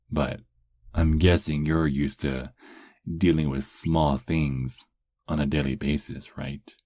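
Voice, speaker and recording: A man's voice: a bass voice, darkish tone, no room sound, full character voice. A man playing a cocky, sarcastic young adult werewolf held captive, in an ASMR roleplay with a taunting, sardonic drawl. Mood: taunting, smug.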